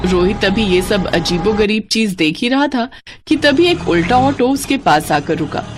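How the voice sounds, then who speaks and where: A woman's voice: medium pitch, expressive, moderate pace, over music. A woman narrating a scripted children's story, on a noisy recording. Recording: clearly noisy background.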